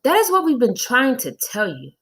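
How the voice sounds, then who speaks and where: Woman voicing a husky, protesting schoolchild. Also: a little high, wildly swooping pitch, normal speed, pitched above the performer's own voice, exaggerated voice. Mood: exasperated. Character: puzzled, protesting young schoolchildren, boys and girls. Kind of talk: children's storybook dialogue.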